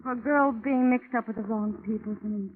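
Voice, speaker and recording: A woman's voice: fairly high pitch, a little fast, lightly acted. A woman acting a guarded, world-weary young woman in a vintage radio crime drama, curt and suspicious. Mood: wary, resigned. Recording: tinny old radio recording, clear noise.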